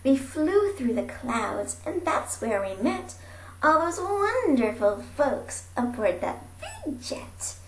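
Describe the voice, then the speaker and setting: Female storybook reader, theatrical and highly expressive, unhurried pace, roomy amateur recording. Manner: theatrical, dropping into breathy whispers and calling out. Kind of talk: animated rhyming picture-book read-aloud. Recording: roomy echo with faint background noise.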